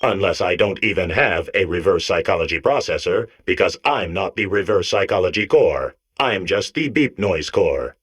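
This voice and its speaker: A low male robot voice for a comedy game, sullen and manipulative. He contradicts himself in a deadpan, contrary way. There is clear noise behind the voice. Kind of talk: comedic video game voice acting.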